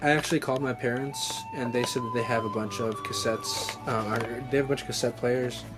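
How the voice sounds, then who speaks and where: A man's voice: medium pitch, fairly even intonation, brisk, rough recording. Young man chatting casually over music. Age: young adult. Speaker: vlog host. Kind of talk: casual unscripted unboxing chat. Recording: music playing behind the voice. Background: background music.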